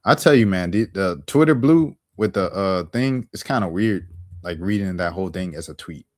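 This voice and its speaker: A young man streaming and reacting to clips in a laid-back, joking way, full of slang and shout-outs. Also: sits low, lively intonation, normal speed. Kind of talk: casual reaction commentary.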